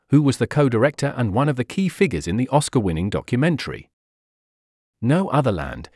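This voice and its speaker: A man reads a written news article aloud at a measured, steady pace. His pitch rises and falls in an animated way, and the recording is dry, with no room sound. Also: dry recording. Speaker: article narrator.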